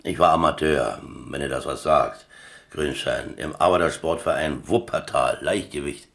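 A stubborn old-school working-class boxer in a radio play. The voice is extremely deep, and the talk is dry, wry and colloquial, with few words wasted. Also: male voice, normal speed, creaky, fairly bright tone, exaggerated voice. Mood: dry, matter-of-fact. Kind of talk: German radio drama acting.

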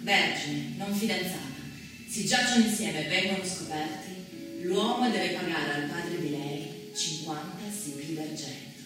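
A woman's voice: on the low side, unhurried, far from the mic, close to an everyday voice. Actress as solemn declaiming woman, very echoey. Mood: solemn, stern. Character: solemn female narrator reciting scripture and law. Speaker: stage actress. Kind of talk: theatrical dramatic recitation of documentary texts. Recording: very echoey stage from afar, music.

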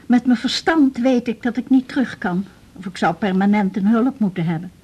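Husky, frail old Dutch widow. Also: female voice, a little high, darkish tone. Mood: wistful, anxious. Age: older. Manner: chatty, plaintive, with halting repetitions. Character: frail, talkative old widow attached to her house. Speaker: patient. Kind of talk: radio drama acting.

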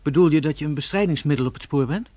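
An actor playing an earnest, worried questioner in a radio drama. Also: male voice, a little high, quick pace, mellow, no room sound, lightly acted. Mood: worried, questioning.

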